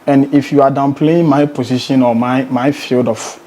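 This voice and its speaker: A man's voice: mid-range voice, fairly even intonation, normal speed, mellow. A man with a Ghanaian accent speaking as a musician being interviewed, emphatically, repeating words and posing rhetorical questions. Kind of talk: conversational interview.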